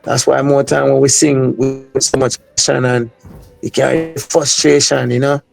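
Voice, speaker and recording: A man's voice: mid-range voice, flat delivery, normal speed, vocal fry, soft background music, rough recording. A man from the United States, a reggae artist, talking casually in an interview, full of filler phrases. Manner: rambling, heavy on Jamaican-style filler phrases. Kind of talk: casual interview talk with sung snippets.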